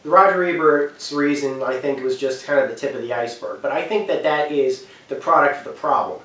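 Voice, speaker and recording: A man's voice: a little high, brisk, a bit bright. A man giving an unscripted, opinionated commentary, rambling and backtracking as he makes his points with emphasis. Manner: rambling, hesitant, often backtracking. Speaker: host giving video commentary. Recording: very echoey room, distant from mic.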